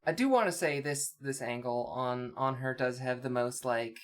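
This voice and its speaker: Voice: medium pitch, normal speed. A co-host giving casual comic commentary in a wry, hesitant, nitpicking way.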